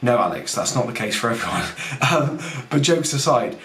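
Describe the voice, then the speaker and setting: A young man with a husky, fading voice, a fitness coach hosting a casual podcast who rambles, mocks himself and talks straight to the listener. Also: medium pitch, expressive, normal speed, a bit bright. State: husky, losing his voice. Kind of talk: casual podcast talk, teaching. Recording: echoey room with faint background noise.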